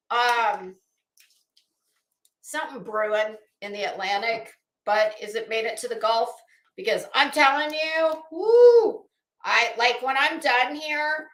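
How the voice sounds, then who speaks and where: A middle-aged woman hosting a casual livestream, chatting to viewers in a rambling, expressive way with self-deprecating asides. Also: on the high side. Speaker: livestream host. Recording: roomy, echoey indoor sound.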